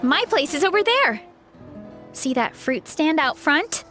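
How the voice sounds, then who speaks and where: A woman's voice: high-pitched, big pitch swings, unhurried, bright, forceful tone, heavily acted. An actress playing a sweet, easygoing young farm girl in a video game, eager, modest and polite. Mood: friendly, easygoing. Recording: music playing behind the voice.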